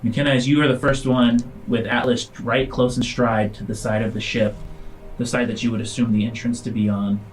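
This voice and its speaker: A man improvising narration as game master, descriptive and halting, in short broken phrases. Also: medium pitch, little pitch movement, slight background noise, rough recording.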